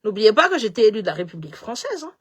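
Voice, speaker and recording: A woman with a slight Malagasy accent talking in a casual, animated way, repeating words as she goes and switching between languages. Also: mid-range voice, very fast, slightly rough. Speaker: political commentator. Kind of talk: casual live talk.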